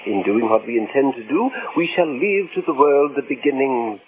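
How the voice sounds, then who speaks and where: A man's voice: medium pitch, lively intonation, normal speed, close to an everyday voice. A man playing an elderly, courtly, fanatical former professor in an old-time radio drama, speaking formally and pompously. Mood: polite, resolute. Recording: noisy, narrow-band vintage radio recording.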